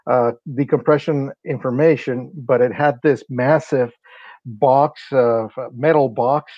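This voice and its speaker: A man's voice: mid-range voice, steady tone, measured pace, no echo, lo-fi sound. A man from Honduras, a company's sales and marketing director, explaining things at length in a casual interview with many fillers.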